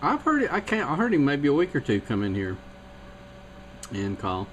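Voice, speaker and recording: A man's voice: on the high side, huge pitch swings, normal speed. A man chatting over CB radio, rambling and chatty, with a technical bent. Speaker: CB radio operator. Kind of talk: casual radio chat. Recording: CB radio with clear static.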